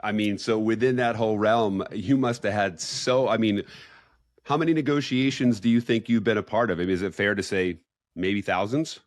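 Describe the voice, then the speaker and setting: A man's voice: lowish voice, moderate pace, no echo, clean studio sound. A man hosting a podcast, interviewing a guest with eager, rambling questions that he sometimes restarts. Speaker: podcast host.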